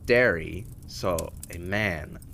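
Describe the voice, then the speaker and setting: A performer voicing a casual, halting young hellhound in a fan comic dub. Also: deep voice, gravelly, fairly bright tone, a little hiss or hum, full character voice. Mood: casual storytelling.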